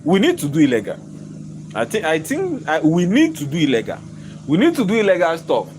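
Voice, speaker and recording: A man, hoarse from losing his voice, ranting in a vlog from inside his own shop. He repeats himself emphatically, stumbles over his words and keeps breaking into laughter. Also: on the high side, huge pitch swings, a little fast, darkish tone, a little hiss or hum. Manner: repetitive, emphatic, stammering restarts, bursts of laughter. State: hoarse, lost voice. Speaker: shop owner vlogger.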